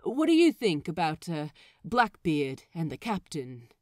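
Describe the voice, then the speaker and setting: A woman voicing a fussy, quick-witted young male pirate in an emphatic, sassy, flustered way for an audio story. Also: low voice, very animated, a little mellow, no echo, studio quality. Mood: flustered, careful.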